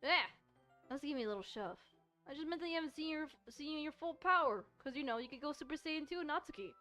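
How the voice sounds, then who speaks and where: A woman with a fairly high voice plays and reads a visual novel for viewers. She is playful and rambles casually, with music behind her. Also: expressive, fast, bright, forceful tone. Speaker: streamer playing and reading a visual novel. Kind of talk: casual let's-play chat and read-aloud.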